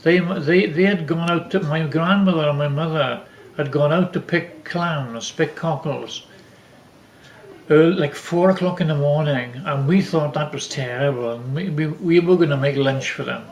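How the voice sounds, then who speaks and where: An older man telling family stories casually, rambling and halting with repeated false starts. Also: on the high side. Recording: echoey room.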